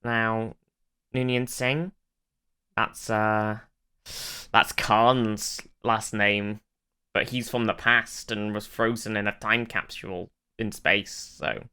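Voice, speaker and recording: Bright, forceful man chatting casually. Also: fairly low pitch, fairly even intonation, slow pace, clear, resonant tone. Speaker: podcast co-host. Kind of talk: casual chat about a sci-fi show.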